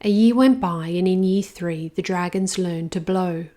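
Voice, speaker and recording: A woman reading a rhyming children's picture book aloud, expressive and even. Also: lowish voice. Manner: slow, expressive rhymed reading. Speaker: storyteller.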